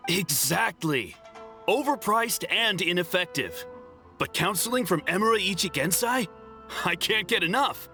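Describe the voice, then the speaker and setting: A man's voice: sits high, animated, unhurried, pushed and bright, soft background music, exaggerated voice. A man voicing a snooty, fervent follower of a spiritual counselor in a video game.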